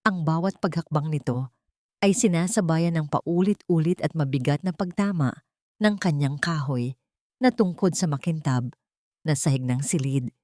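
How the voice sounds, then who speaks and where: Low-pitched woman narrating a drama. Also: normal speed, darkish tone, dry recording, clean studio sound. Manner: solemn, melodramatic storytelling. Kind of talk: dramatic scripted story narration.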